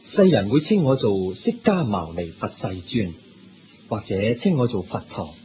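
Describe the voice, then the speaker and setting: Radio drama sage: measured, instructive, Hong Kong Cantonese accent, muffled lo-fi sound with faint music. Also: male voice, on the low side, very animated. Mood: gracious, calm. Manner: measured, instructive, gently reassuring. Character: the Buddha, serene, kindly male sage and teacher. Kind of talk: Buddhist radio drama acting.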